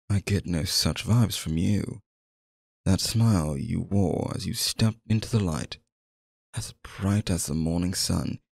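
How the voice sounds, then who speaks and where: A courtly, obsessive male stage magician, acted out by a man for an ASMR roleplay. His voice sits fairly low and moves with animated, theatrical swings. He flatters and coaxes the listener in genteel, flowery phrases, recorded close and dry. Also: creaky, no room sound, exaggerated voice. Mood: adoring, flattering.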